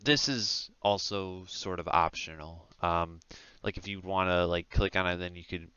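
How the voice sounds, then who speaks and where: A man giving a casual software tutorial in an informal, hesitant step-by-step way, speaking close into a headset mic. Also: deep voice, normal speed, clean tone, no echo. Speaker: tutorial presenter. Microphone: close headset microphone. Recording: dull, lo-fi headset audio.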